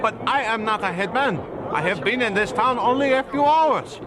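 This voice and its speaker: An actor playing a brave, devout evangelist husband in a radio drama, speaking earnestly amid a noisy crowd scene. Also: male voice, extremely high pitch, expressive, normal speed. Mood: defiant. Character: brave, devout Chinese evangelist, calm husband facing danger.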